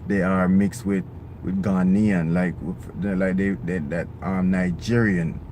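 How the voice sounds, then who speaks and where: A man's voice: low voice, little pitch movement, takes it slowly. A man vlogging live, speaking earnestly and with emphasis, often ending points with "right?" or "okay?". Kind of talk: live-streamed monologue. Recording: loud outdoor traffic noise.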